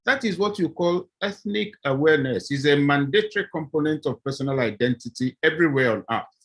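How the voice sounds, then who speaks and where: A middle-aged man from southeast Nigeria with a Nigerian accent, a professor speaking on a video-call panel, animated and acting out little scenes as he argues. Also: on the high side, a little fast, slightly rough.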